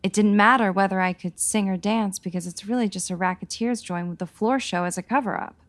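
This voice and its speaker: A woman's voice: mid-range voice, fairly even intonation, a little fast, natural voice. Actress as an anxious 1940s radio showgirl. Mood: worried, earnest. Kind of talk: 1940s radio noir acting.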